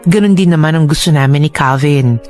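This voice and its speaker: A woman playing a devoted young mother who narrates her own story in a measured, heartfelt radio drama style. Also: deep voice, a little mellow, soft background music, lightly acted. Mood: reflective. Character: devoted young mother looking back on her love life.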